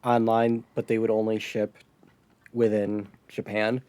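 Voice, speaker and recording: An American man chatting casually as a podcast host, somewhat halting when he describes things. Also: fairly low pitch, completely flat delivery, warm tone, smooth, no room sound. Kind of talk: casual podcast chat about retro gaming.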